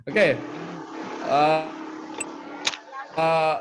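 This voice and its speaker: A man's voice: fairly high pitch, fairly even intonation, drawn-out words. A man talking casually in an online workshop, explaining informally as a storyteller giving tips. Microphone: video call. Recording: noisy online video call.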